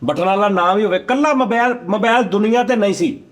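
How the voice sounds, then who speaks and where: A man's voice: high voice, a little fast. A man with a Punjabi accent hosting a casual comedic chat, arguing insistently. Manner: argumentative, insistent. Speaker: talk show host.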